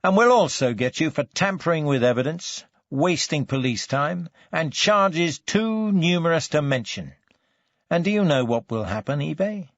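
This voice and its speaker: A stern police detective, acted out by a male audiobook reader, forceful and biting. He hectors slowly and deliberately, with gravel in the voice. The sound is dry and slightly dull. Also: on the high side, expressive, dry recording, pitched above the performer's own voice. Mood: angry, threatening.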